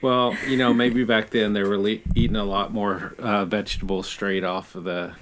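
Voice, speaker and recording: A man's voice: fairly low pitch, little pitch movement, normal speed, a bit bright, slight background noise. A man chatting casually as the co-host of a podcast. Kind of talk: casual chat.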